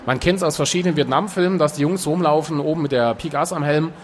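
A man talking casually as a guest in an interview, relaxed and chatty, with frequent filler sounds. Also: on the high side, fast talker. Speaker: author and guest.

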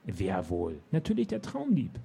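A man's voice: fairly low pitch, wildly swooping pitch, a little slow, vocal fry, mellow, slightly rough, heavily acted. A man voicing a pompous, grumpy knight captain who blusters irritably while out of breath. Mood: blustering.

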